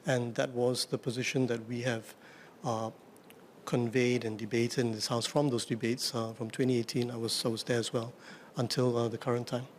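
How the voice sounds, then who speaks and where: A soft-spoken man, an opposition member of parliament, answering in a measured, hesitant way. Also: mid-range voice, little pitch movement, a little hiss or hum. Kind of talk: parliamentary debate response. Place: parliament chamber.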